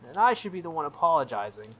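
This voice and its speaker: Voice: mid-range voice, big pitch swings, fast, bright, forceful tone, slight background noise, poor audio. A game player voicing a confident, teasing schoolgirl from a visual novel in a breezy, self-assured way. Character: confident, teasing schoolgirl honor student, spirited.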